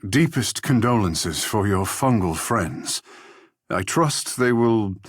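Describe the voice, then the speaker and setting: Vain, theatrical young charmer, husky and lively. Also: male voice, fairly low pitch, slow, no echo, clean studio sound, full character voice. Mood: playful, charming. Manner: theatrical, playfully pompous, flowery formal phrasing. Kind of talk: video game character dialogue and barks.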